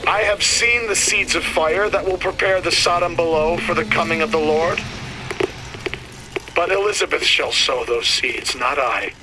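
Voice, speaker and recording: An actor playing a zealous elderly prophet and villainous ruler in a video game, preaching in solemn, grandiose, archaic oratory. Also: male voice, on the high side, moderate pace, reverberant, big-room sound, far from the mic, noisy background, lightly acted. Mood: solemn, fervent. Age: older. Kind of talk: theatrical sermon-like oratory.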